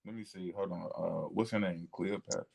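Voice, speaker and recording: A man chatting informally about history, thinking aloud in a halting way as he works out details. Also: low voice, steady tone, vocal fry, darkish tone. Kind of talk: casual history discussion.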